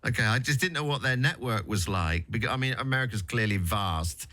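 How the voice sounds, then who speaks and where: A male radio presenter with a lively, bright voice, swinging up and down in pitch. He asks questions in a relaxed, conversational way, with plenty of ums. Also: a little fast. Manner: relaxed, conversational, many fillers. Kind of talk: radio interview.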